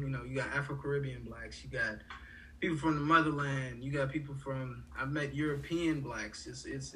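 A man's voice: mid-range voice, a bit bright, far from the mic, slight background noise, rough recording. A young man from Haiti, a college student, talking casually in a rambling way with many fillers and restarts. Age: young adult. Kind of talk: casual conversation.

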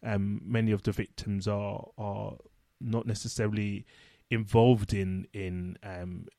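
A man co-hosting a casual chat, a social worker speaking in a reflective, measured way. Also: on the low side, level tone, vocal fry, dark tone, no room sound, clean studio sound. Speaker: social worker, podcast co-host.

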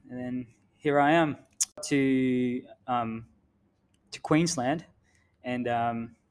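A man who grew up in the Philippines, co-hosting a podcast in a laid-back, self-deprecating way with many pauses and um's. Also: medium pitch. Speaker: podcast co-host. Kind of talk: casual storytelling chat.

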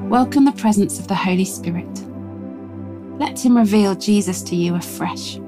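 A woman narrates a scripted devotional with music underneath. Her delivery is measured and reverent, with a reflective feel throughout. Her voice sits at an ordinary middle pitch for a woman. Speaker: devotional reader. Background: music behind the voice.

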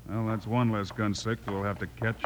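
A man's voice: on the low side, normal speed, natural voice. An American actor playing a level-headed, authoritative lawman hero in an old western radio drama. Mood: determined. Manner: calm, authoritative. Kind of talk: 1950s western radio acting. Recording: faintly noisy old radio recording.

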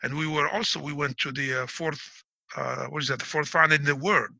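A middle-aged man speaks with a piercingly bright, tense tone, laying things out as if teaching a class. His delivery is proud and emphatic. The sound is dry and close, with no room echo. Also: a little high, fairly even intonation, a little fast, vocal fry. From Algeria. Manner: explains like a teacher. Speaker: interviewee. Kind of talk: casual interview talk.

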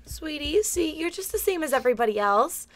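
A woman's voice: sits high, sing-song, fairly quick. A woman playing a flustered, doting suburban mother in a comedic audio drama, fussing and reassuring.

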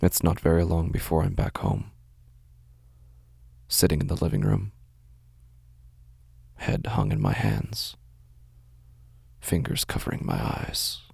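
An actor playing a haunted, anguished man who narrates his visions in a halting, breathy, intense audio drama monologue. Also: low voice, big pitch swings, a little mellow, no room sound, heavily acted. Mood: weary, brooding. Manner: halting, intense, fragmented phrasing. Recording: music bed behind the voice. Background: music.

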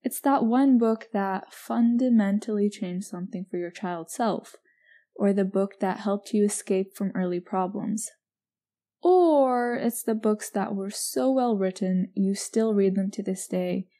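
Young female podcast host, mellow tone, chatty, filler-heavy. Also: medium pitch, a little slow. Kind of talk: casual chat.

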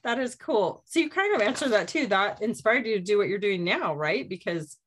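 A woman's voice: mid-range voice, expressive, fairly quick, a little hiss or hum. A woman hosting a casual interview, enthusiastic and affirming toward her guest. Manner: enthusiastic, warm, affirming, laughs often. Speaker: interview host.

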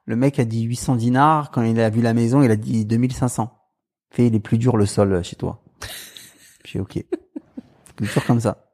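A man with a Paris suburban accent tells anecdotes in an easygoing chat. His voice is mellow and dark. He acts out other people's lines and laughs along as he goes. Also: mid-range voice, moderate pace, long pauses. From Paris suburbs, France. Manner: animated, mimics voices in anecdotes, laughs often. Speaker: guest. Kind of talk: casual storytelling.

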